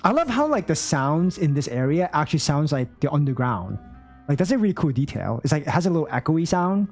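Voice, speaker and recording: Low husky woman streaming a game. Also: big pitch swings, a little fast, darkish tone, soft background music. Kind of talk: casual live gameplay commentary.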